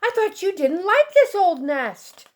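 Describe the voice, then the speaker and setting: Storybook husband bird, played by a woman: high voice, excitable, devoted, emotional, earnest. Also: a little slow, heavily acted. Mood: astonished. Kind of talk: children's storybook character voice.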